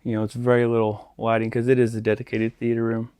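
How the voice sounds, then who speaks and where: A man's voice: medium pitch, completely flat delivery, fairly quick, dark tone, smooth, dry recording. A man hosting an informal video tour, talking off the cuff and addressing viewers directly as he goes. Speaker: tour guide and vlog host. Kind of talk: casual off-the-cuff walkthrough.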